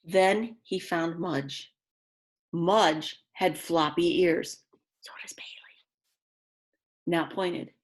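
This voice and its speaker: A woman's voice: lowish voice, steady tone, drawn-out words, long pauses. A woman teacher reading a children's book aloud, speaking expressively and directly to young listeners with chatty, simple asides.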